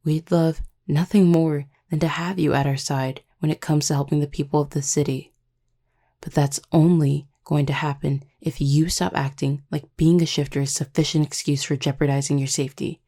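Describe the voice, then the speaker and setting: A protective female pack leader acted by a woman in an audio drama, with a low, mellow voice. She speaks in a measured, authoritative way, firm but caring, and her delivery stays level with a gravelly edge. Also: flat delivery. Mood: stern, caring. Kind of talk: audio roleplay acting.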